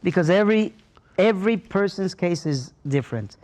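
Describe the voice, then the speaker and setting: A man's voice: high-pitched, animated, moderate pace, darkish tone. A man from Mexico, a guest answering questions hesitantly, with many fillers.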